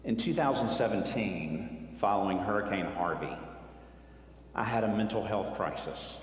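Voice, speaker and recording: A man's voice: lowish voice, expressive, normal speed, slight background noise. A man, speaking to a church retreat group in a friendly, personal storytelling way. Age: 50s. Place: church hall. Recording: echoey hall, faint ambience.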